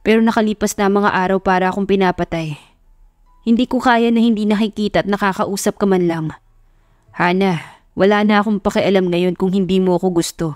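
A woman's voice: medium pitch, steady tone, brisk, darkish tone, no room sound, studio quality, soft background music, natural voice. A woman voicing an earnest, lovestruck young man making intense, sincere declarations in an audio love story. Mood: pleading.

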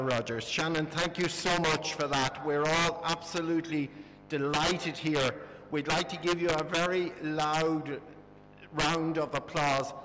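A man's voice: fairly high pitch, fairly bright tone. An older Irish man acting as event moderator, giving formal thanks. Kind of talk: formal thanks to guest and audience. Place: large indoor school hall. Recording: very echoey school hall, noisy hum.

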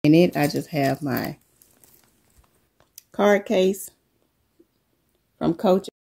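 A middle-aged woman coming down with a cold, showing the contents of her bag to viewers in a relaxed, unhurried chat from her living room. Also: low-pitched, creaky, slightly rough. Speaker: vlogger. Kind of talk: casual show-and-tell chat.

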